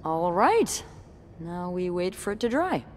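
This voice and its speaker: A woman's voice: on the low side, sing-song, measured pace, darkish tone, slight background noise. Wry young woman, swooping intonation. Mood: encouraging, wry. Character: young woman coworker, wry, playful, self-assured, creative. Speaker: game character voice actress. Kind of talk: naturalistic video game dialogue.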